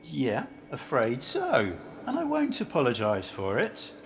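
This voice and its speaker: A man acting as a brusque city banker in an amateur radio play, with a very animated, swooping delivery. He grumbles and questions bluntly, and there is faint noise on the recording. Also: mid-range voice, unhurried, vocal fry, full character voice. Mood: defensive, cynical. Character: brusque, chatty city banker waiting for a train.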